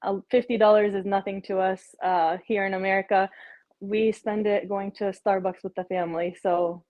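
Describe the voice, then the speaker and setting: A woman's voice: mid-range voice, flat delivery, moderate pace, no echo, muffled recording. A woman from Texas with a slight Texan twang, chatting friendly and talkatively as she introduces her cooking. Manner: friendly, talkative, with ums and uhs. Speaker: home cook introducing herself. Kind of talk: casual cooking demo.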